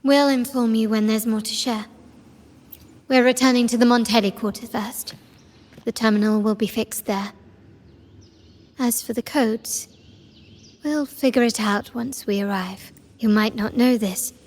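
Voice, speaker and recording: A woman playing a composed, shrewd young heiress in a game, speaking formally and deliberately. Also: on the high side, fairly even intonation, darkish tone. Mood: composed, analytical. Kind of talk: dramatic game dialogue. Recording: reverberant hall, music underneath. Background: music.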